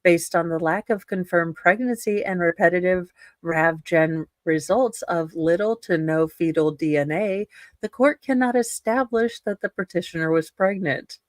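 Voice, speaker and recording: A woman's voice: on the low side, moderate pace, no room sound. A woman reading a legal ruling aloud in a casual, dramatic style, breaking off for salty, irreverent commentary. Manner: irreverent, salty commentary between read passages.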